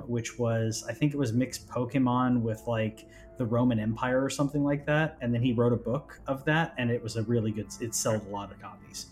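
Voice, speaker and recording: A man's voice: medium pitch, a little mellow. Rambling man chatting, guitar music behind. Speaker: podcast host on a gaming news show. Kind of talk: casual conversational chat.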